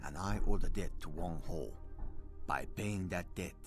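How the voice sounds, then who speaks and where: Very deep, gravelly ex-gangster. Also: male voice, music in the background. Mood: solemn. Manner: solemn, clipped, deliberate. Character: grave, honour-bound ex-gangster importer in a video game. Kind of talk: video game dialogue.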